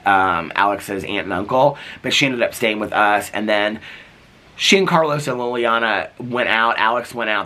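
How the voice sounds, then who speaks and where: A man's voice: on the low side, bright, forceful tone. A man vlogging in a casual, rambling way, full of "like" and "um," while sick and losing his voice. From United States. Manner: rambling, full of likes and ums. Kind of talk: casual chatty vlog.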